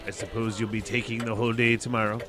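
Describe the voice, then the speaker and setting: A man's voice: fairly low pitch, flat delivery, fast, close to an everyday voice. A man playing a miserly, irritable businessman in an audio drama, curt and scornful of Christmas. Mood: irritable, grudging.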